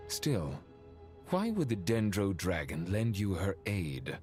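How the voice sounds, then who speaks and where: A haughty, refined ancient sage with a low voice and dry, condescending eloquence. He speaks in measured game-dialogue style over soft music. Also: big pitch swings, heavily acted. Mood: grave, formal. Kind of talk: game voice acting.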